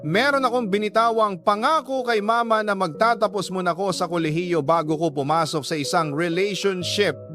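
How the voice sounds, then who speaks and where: A woman's voice: medium pitch, normal speed, hardly any pauses, close to an everyday voice. An actress voicing a reserved young Filipino woman, telling her love story in a conversational radio drama style. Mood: reflective. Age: young adult. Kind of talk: first-person radio drama narration. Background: music.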